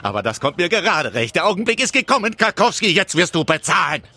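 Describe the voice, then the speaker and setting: Pompous, vengeful cuckolded husband, wildly animated. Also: male voice, high-pitched, fast talker, no echo, heavily acted. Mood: agitated, triumphant. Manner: theatrical, self-important, gloating. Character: vengeful, pompous betrayed husband, a boastful brewery owner. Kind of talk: theatrical radio drama monologue.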